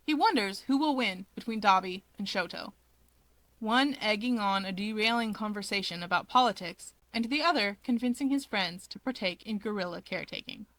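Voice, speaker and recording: A woman reading fan fiction aloud as an expressive storyteller. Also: medium pitch, normal speed, no echo, low-quality recording. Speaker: narrator.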